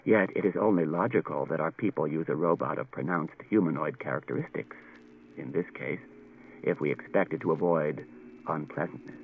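A man voicing a calm, polite male robot who speaks in a quiet, measured, precise way. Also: a bass voice, vocal fry, slight background noise, voice pushed down. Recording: dull lo-fi with music behind.

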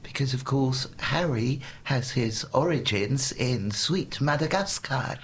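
A middle-aged man hosting a radio show, chatting in a relaxed, unhurried way. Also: mid-range voice, a little hiss or hum. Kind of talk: casual radio interview chat.

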